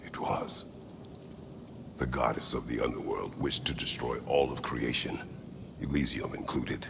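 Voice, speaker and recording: A man voicing a grave, terse middle-aged warrior haunted by past cruelty, with a deep, gravelly, breathy rumble, in a video game. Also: heavily acted. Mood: grave, remorseful. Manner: terse, solemn, measured. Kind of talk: dramatic video game voice acting.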